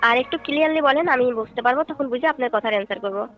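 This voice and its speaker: Woman acting as polite folk singer on a phone call, high voice, talks fast, questioning. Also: lightly acted. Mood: polite, guarded. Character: female folk singer, courteous troupe leader, bargaining. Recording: dull phone-call audio with music behind.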